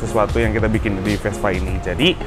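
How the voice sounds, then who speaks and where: A man's voice: fairly low pitch, fast talker. A young man chatting casually and jokingly while he washes and inspects an old scooter in an open garage. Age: young adult. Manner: relaxed, joking, slangy, talks while working. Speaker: vlogger. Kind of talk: casual vlog chat. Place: open garage courtyard, outdoors. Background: music.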